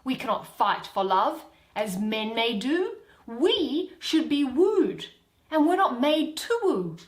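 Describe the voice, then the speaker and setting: Lovesick pleading young woman, acted out, wildly swooping pitch. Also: sing-song, slow, reverberant, exaggerated voice. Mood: wounded, defiant. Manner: impassioned, imploring. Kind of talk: dramatic Shakespeare reading.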